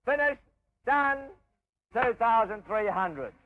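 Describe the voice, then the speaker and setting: A muffled archive tape of a man calling an auction. His voice is high and projected. He draws the bids out slowly and repeats them. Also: lively intonation. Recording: dull old location tape.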